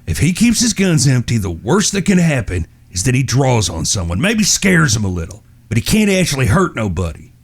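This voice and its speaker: An actor playing a stern, watchful American gunslinger older brother, with a firm, wry, folksy drawl in a western audio drama. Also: male voice, medium pitch, wildly swooping pitch, fairly quick, hardly any pauses, breathy edge, heavily acted. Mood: firm, exasperated.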